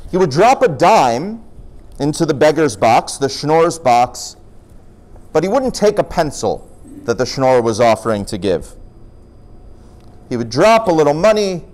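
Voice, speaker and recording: A man presenting folk tales in an animated, explanatory storytelling style. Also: on the high side. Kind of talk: storytelling talk with acted dialogue.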